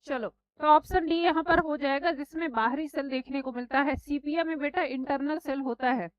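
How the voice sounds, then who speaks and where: Woman, online teacher, high pitch, sore throat, scolding, dull dry audio. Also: normal speed, no room sound. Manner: scolding, addresses students as beta and bhai. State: sore throat, slightly hoarse. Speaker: exam prep teacher. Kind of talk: live online class.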